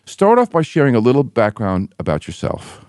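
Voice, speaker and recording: Male radio host, measured prepared delivery, dry close studio mic. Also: mid-range voice, wildly swooping pitch, darkish tone, no echo. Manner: measured, reads prepared questions. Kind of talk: scripted introduction and interview questions.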